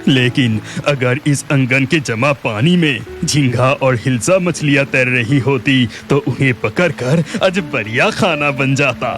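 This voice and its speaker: A man voicing an excitable, food-loving village husband in a Hindi cartoon story, with expressive exclamations. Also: medium pitch, unhurried, hardly any pauses, faint music behind, lightly acted. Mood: hungry, wishful.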